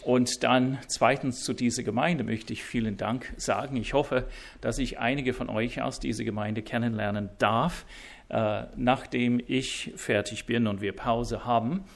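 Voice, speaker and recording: Guest preacher, male, English-speaking accent, slow and gravelly, stumbling through fillers with a self-deprecating wit. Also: medium pitch, steady tone. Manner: halting, many fillers and restarts. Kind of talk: sermon introduction. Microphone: wireless mic.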